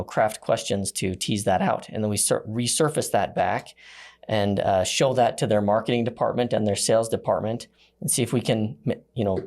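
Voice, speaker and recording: A man speaking as a podcast guest, conversational and a little halting as he thinks aloud. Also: on the low side, a little mellow. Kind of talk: conversational business podcast talk.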